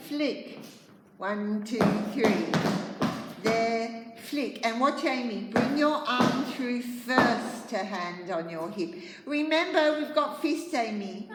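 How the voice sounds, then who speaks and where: A woman's voice: a little high, noisy background. A dance teacher from Australia leading a class of girls, counting steps aloud and calling out corrections to her pupils. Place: dance studio. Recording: echoey studio, teacher away from mic.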